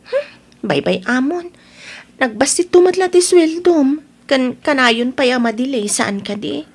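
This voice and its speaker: A woman's voice: high-pitched, expressive, a little slow, gravelly, husky, heavily acted. A woman playing an anxious, clinging nineteen-year-old in an Ilocano audio drama, pleading and coaxing with an Ilocano accent.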